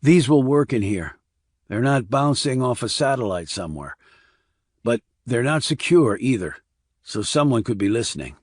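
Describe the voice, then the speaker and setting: A man voicing a terse, authoritative, steady former army investigator in audiobook dialogue. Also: mid-range voice, moderate pace, no echo, studio quality, close to an everyday voice. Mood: brisk, commanding.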